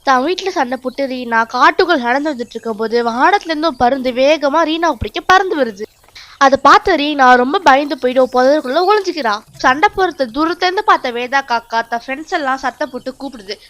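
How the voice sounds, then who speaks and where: A woman with a high voice narrates a children's cartoon story. Her delivery is scripted storytelling, aimed at young listeners. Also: animated, fast, a bit bright, dry recording.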